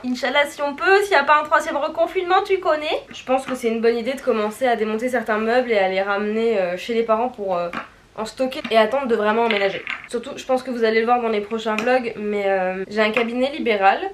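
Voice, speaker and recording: A woman's voice: on the high side, fast talker, a little hiss or hum. A young woman from Paris, chatting casually in a playful, slangy, self-mocking way as she vlogs. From Paris, France. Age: young adult. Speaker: vlogger.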